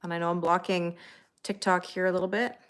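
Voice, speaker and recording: A woman's voice: lowish voice, little pitch movement, a little fast, smooth. A middle-aged woman hosting a tarot livestream, chatting casually and thanking viewers by name.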